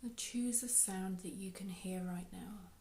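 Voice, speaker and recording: A woman leading a guided meditation in a soft, unhurried, soothing way, with phrases trailing off into breath. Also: medium pitch, slight background noise. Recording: roomy, echoey sound.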